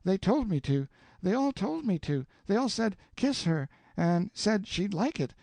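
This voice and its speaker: A man voicing a cheeky, smooth-talking teenage boy who plays innocent with stammering politeness. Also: high voice, sing-song, measured pace, a little mellow, no room sound, pitched above the performer's own voice, exaggerated voice. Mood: mock innocent.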